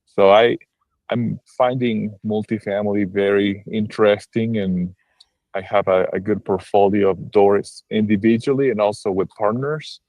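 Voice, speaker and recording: A lowish-voiced man from Argentina, speaking on a video call in a dry, close sound. He talks about his work as a broker in a halting way, full of restarts and fillers. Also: fairly even intonation, darkish tone, no room sound, rough recording. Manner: hesitant, many restarts and fillers. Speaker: real estate broker and investor. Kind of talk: casual livestream call-in chat.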